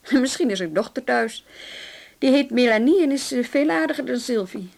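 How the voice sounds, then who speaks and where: An actress in a radio play voicing a brisk, wry female police inspector with a dry, businesslike manner. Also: a little high, lively intonation, vocal fry, a little mellow. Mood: wry, chatty. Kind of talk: radio drama acting.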